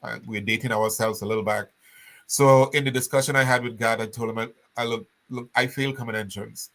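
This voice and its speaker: An older man from Trinidad, telling his story as an interview guest with animated restarts. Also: medium pitch, a little fast.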